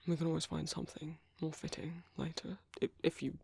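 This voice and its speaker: A British man playing a gentle, courteous vampire priest in an ASMR roleplay, speaking softly and breathily with polite, hesitant reassurance. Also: fairly high pitch, completely flat delivery, fairly quick, dry recording, heavily acted. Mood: reassuring. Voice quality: soft, breathy, husky. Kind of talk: ASMR roleplay, soft intimate whispery speech.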